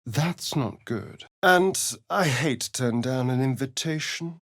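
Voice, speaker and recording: A man's voice: expressive, slow, fairly bright tone, dry recording, clean studio sound, heavily acted. A man playing a sly, sardonic vampire spawn rogue in a video game, with an arch, drawling, theatrical delivery. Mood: sardonic, playful. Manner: arch, theatrical, drawling, with big swoops in pitch. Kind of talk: video game voice lines and narration.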